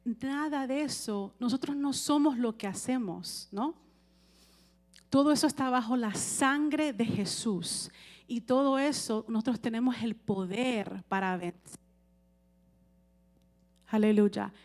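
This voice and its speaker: A woman's voice: a little high, slow pace, pauses often, a little mellow. A woman preaching a sermon in a conversational way, often checking with the congregation and asking for amens.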